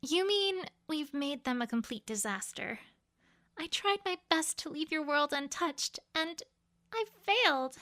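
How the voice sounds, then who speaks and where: A woman's voice: high voice, expressive, measured pace, pushed and bright, heavily acted. A woman voicing an anxious, earnest young female unicorn in a fan audio story, guilty and emotive. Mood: troubled, guilty. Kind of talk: acted audio story dialogue.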